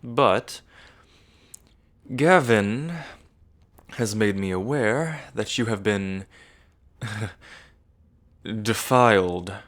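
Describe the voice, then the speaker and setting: A man's voice: fairly low pitch, extremely slow, long pauses, a bit bright. A man playing a smug, menacing evil prince in an ASMR roleplay, mocking and condescending, drawling like a theatrical villain. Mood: scornful menace.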